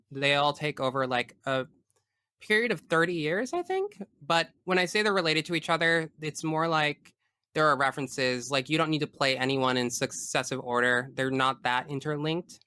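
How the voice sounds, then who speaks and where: A man with a crisp, forward voice talks as a podcast guest in casual conversation. His delivery is bright and frank with a geeky streak, and the sound is close and dry. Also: medium pitch, normal speed, no room sound. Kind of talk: casual chat about video games.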